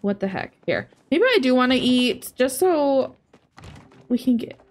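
A young woman in her twenties chatting casually while she plays a game on stream, thinking aloud through a headset mic, her voice a bit raspy today. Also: high-pitched, very animated, unhurried. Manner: chatty, thinking aloud, soft asides to chat. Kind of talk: casual gameplay commentary.

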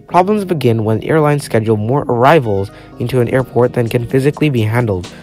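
A man's voice: medium pitch, a little mellow. A man reading scripted narration for a documentary-style explainer. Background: background music.

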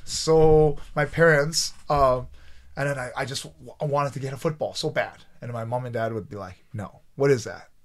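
A man in his thirties from Taiwan, raised in Canada, telling his story as a podcast guest in a small studio, animated and halting with restarts. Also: mid-range voice. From Taiwan, raised in Canada from age nine. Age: 30s. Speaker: guest, pro athlete. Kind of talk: storytelling in an interview. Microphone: desk mic on boom arm.

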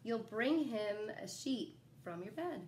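Lively, higher-voiced young woman reading aloud. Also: a little hiss or hum. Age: young adult. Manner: animated, pausing to ask young listeners questions. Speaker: teacher reading a picture book to children. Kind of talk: expressive children's storybook read-aloud with asides to listeners. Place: small living room at home, indoors. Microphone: phone camera a short distance away.

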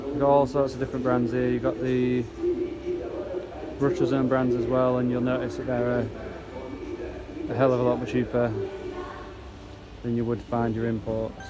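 A man's voice: medium pitch, normal speed, pauses often, low-quality recording. A man casually narrating a walk through a supermarket, chatting about products and prices as he films. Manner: relaxed, chatty, pointing out prices. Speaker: vlogger touring a supermarket. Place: large supermarket indoors, off camera. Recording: loud store air-conditioning hum behind.

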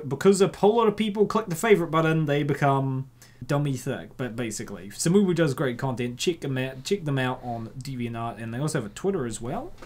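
A man's voice: mid-range voice, darkish tone. A man hosting casual comic commentary, jokey and given to exaggerated reactions. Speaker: host.

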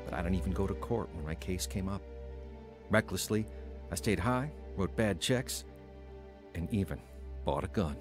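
A man's voice: sits low, moderate pace, long pauses, faint music behind, natural voice. An actor playing a troubled, hot-tempered working man recounting his past in a dramatic radio play. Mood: brooding, regretful. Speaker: narrator and lead actor. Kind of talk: first-person dramatic radio narration and acting.

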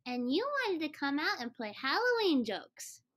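A woman playing a mischievous, deadpan little girl in an old-time radio comedy. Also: high voice, moderate pace. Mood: sly, deadpan.

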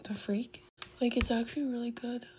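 A young woman in her late teens chatting casually while doing her makeup, rambling and filling her talk with "like", her voice worn and going hoarse. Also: a little high, fairly even intonation, moderate pace, darkish tone, slight background noise. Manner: rambling, heavy use of "like", chatty. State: losing her voice. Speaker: beauty vlogger. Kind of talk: casual get-ready-with-me chat. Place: bedroom at a vanity desk, indoors. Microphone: phone camera close in front.

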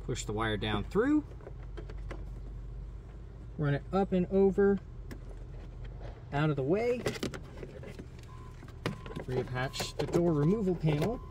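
A man with a slightly high voice narrates a how-to install as host of his own video. He is plainspoken and easygoing. There is faint vehicle noise behind him. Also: very animated, takes it slowly, pauses often. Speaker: host of a DIY vehicle channel. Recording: noisy, vehicle ambience behind.

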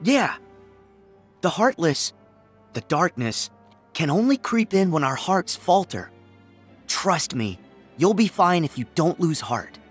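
Earnest teenage hero, youthful high pitch, unhurried, encouraging, kind-hearted, video game acting. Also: male voice, very animated, breathy edge, soft background music, full character voice. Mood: reassuring. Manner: sincere, encouraging.

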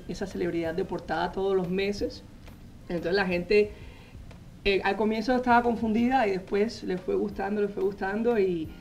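A man, a magazine editor telling his story as a guest in a TV studio interview, animated and full of "eh" fillers, speaking into a lapel mic. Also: high voice, gravelly. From Colombia. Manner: animated storytelling, frequent "eh" fillers, gestures while talking. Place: TV news studio set.

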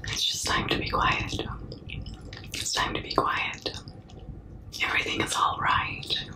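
A young woman doing a comforting ASMR roleplay in a breathy, unvoiced whisper, hushing and soothing up close to the mic. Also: far from the mic. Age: young adult. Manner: slow, hushing, soothing, repetitive. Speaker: ASMR performer. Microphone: close mic, very near the camera.

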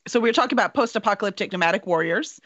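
A middle-aged woman hosting a casual interview in an expressive, chatty way. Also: mid-range voice, very fast. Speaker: host, interviewer. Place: indoor home room. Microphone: video call. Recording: dull, lo-fi video call audio.

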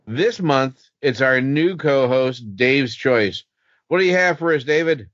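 A man's voice: mid-range voice, lively intonation, a little slow, fairly bright tone, no room sound, lo-fi sound. A man co-hosting a podcast, presenting a prepared introduction of the show. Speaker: podcast co-host.

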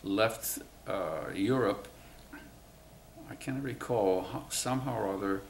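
Very deep-voiced man in his nineties. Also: vocal fry, roomy sound, slight background noise. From Cuba. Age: 90s. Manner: slow, halting recollection with many pauses. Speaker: interviewee, WWII navy veteran. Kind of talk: oral history interview. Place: museum room, indoors.

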